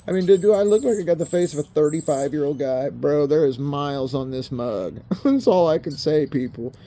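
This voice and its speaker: A man's voice: fairly high pitch, a little fast, mellow. A man in his fifties rambling in a casual monologue, swearing often and calling the viewers bro and people. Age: 50s. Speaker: vlogger. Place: in a car.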